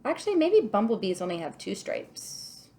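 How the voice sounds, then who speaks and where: A woman's voice: mid-range voice, very animated, normal speed, gravelly, warm tone, slight background noise. A woman teaching young children a craft, talking through each step and thinking aloud in an encouraging way. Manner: encouraging, thinking aloud, asks the listener questions. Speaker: kindergarten teacher.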